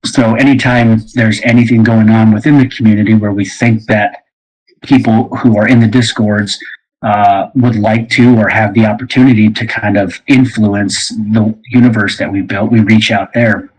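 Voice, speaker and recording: A man's voice: on the low side, flat delivery, moderate pace, low-quality recording. A man speaking casually as a host, rambling along with plenty of you-knows. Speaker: host and game creator. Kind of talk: casual conversational interview.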